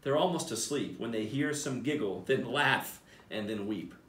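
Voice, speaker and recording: A man's voice: mid-range voice, lively intonation, moderate pace, a bit bright. A man, around his thirties or forties, a school principal reading a picture book aloud expressively and talking directly to children. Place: small office, indoors. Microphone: camera a few feet away. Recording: roomy echo, distant mic.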